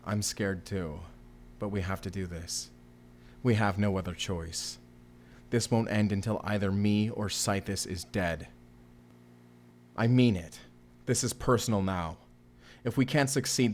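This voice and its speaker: A man's voice: fairly low pitch, measured pace, a little mellow, slight background noise, close to an everyday voice. Man acting as an earnest, intense young vampire slayer. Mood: grim resolve. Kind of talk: cinematic audio roleplay acting.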